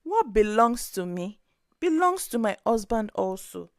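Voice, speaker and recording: A woman's voice: a little high, big pitch swings, unhurried, darkish tone, no room sound, clean studio sound. A woman voicing a wronged wife in a story, firm, restrained and indignant.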